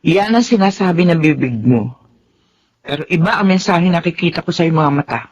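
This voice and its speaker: A woman's voice: lowish voice, animated, brisk, darkish tone, close to an everyday voice. A muffled, slightly noisy radio drama recording of a middle-aged Filipina wife played by an actress. She is doting and swoops expressively through her lines. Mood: concerned, chiding. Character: doting, well-off middle-aged wife, mother of a grown daughter.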